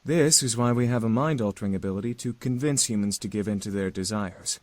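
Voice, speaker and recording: A man acting an earnest, reserved young male demon in a visual novel, speaking in measured, formal phrases. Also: lowish voice, a little mellow, close to an everyday voice. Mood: earnest, explanatory.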